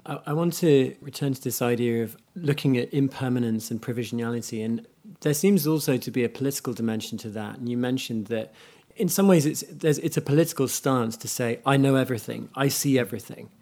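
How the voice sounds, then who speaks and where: A man interviewing an author at a literary event, with measured, tentative phrasing. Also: medium pitch, mellow.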